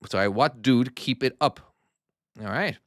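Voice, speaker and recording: A man's voice: mid-range voice, unhurried, no echo, studio quality. Chatty Irish man streaming a game. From Ireland. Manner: chatty, self-deprecating, answers chat. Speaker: game developer streaming his own game. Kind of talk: casual live-stream commentary.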